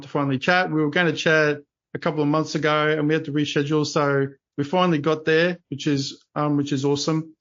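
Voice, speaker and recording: A man's voice: a little high, dull audio. A man hosting a podcast interview, chatty and rambling with lots of restarts and fillers. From Australia. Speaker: podcast host. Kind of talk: casual interview chat.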